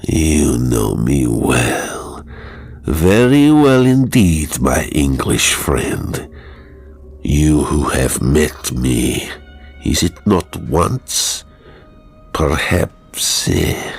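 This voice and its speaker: Slow, menacing Russian villain. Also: male voice, rumbling low, sing-song, slightly rough, soft background music, exaggerated voice. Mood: snarling menace. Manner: slow, deliberate, insinuating menace. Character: suave Russian man turned snarling, menacing villain.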